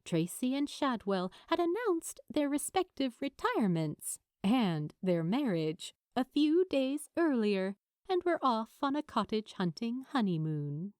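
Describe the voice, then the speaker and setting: A woman reading a story aloud as an expressive audiobook narrator, with dramatic, weighted pauses. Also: a little high, no echo, clean studio sound. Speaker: fan fiction narrator.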